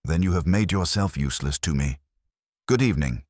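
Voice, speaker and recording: A man's voice: deep voice, animated, brisk, a little mellow, no echo, clean studio sound. A man voicing an imperious, coolly logical detective in an audiobook, with pompous, precise, formal speech. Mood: cool, dismissive.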